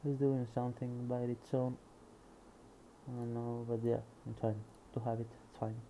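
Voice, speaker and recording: A man with a Spanish accent reads technical text aloud in a very dark, mellow voice. Between passages he mutters quietly to himself while testing the code. A faint hum sits under the recording. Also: mid-range voice, level tone, moderate pace, rough recording. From Spain. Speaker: programmer reading a book aloud. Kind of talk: casual screen-recorded reading and coding.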